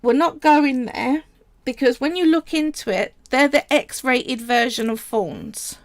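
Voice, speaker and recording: A woman's voice: sits high, lively intonation, dry recording. A woman casually talking viewers through a polymer clay sculpting project on a live stream, chatty and explanatory. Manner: chatty and explanatory, often pausing to say "right" and "so".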